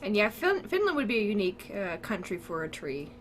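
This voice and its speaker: A woman's voice: mid-range voice, big pitch swings, brisk, a little hiss or hum. A woman co-hosting a game stream, chatting casually and reading viewer comments. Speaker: co-host reading chat. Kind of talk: casual chat.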